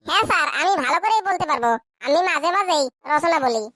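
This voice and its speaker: Cartoon schoolboy: very high, sharp, naive and dim-witted, pleading, close dry recording. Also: female voice, expressive, fast, no room sound. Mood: earnest, naive. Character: cheeky, dim young schoolboy pupils, meek before teacher. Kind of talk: comic cartoon dub.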